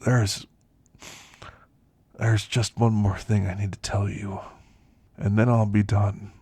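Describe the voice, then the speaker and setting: A man playing a weary, wistful elderly werewolf who confides in slow, reflective ASMR roleplay storytelling, gravelly and husky. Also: fairly low pitch, level tone, darkish tone, no echo, full character voice. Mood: grave, confiding. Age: older.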